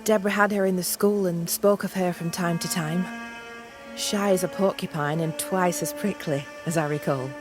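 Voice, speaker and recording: A woman's voice: lowish voice, fairly even intonation, moderate pace, music in the background, lightly acted. An actress playing a guarded, reluctant colonial woman in a video game, speaking in a terse, wary manner. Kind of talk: scripted video game cutscene acting.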